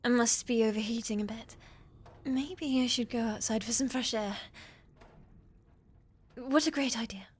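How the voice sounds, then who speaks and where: A woman playing a shy, eager young witch's apprentice in a fantasy ASMR roleplay, soft and hesitant, often slipping toward a whisper. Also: a little high, a little slow, pauses often, husky. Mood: nervous, deferential.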